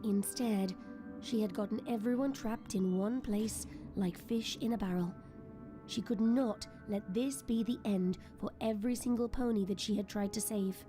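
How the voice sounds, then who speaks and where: A woman's voice: medium pitch, moderate pace. A woman reading scripted, dramatic story narration as the narrator of an audio drama. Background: soft music.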